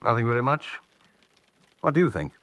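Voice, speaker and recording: A gentleman investigator from a vintage radio play. He is shrewd and weary, clipped and dismissive in speech, with a melody that swings widely. The sound is dry, with little room around it. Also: mid-range voice, very animated, fairly quick, dry recording. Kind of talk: old-fashioned radio drama acting.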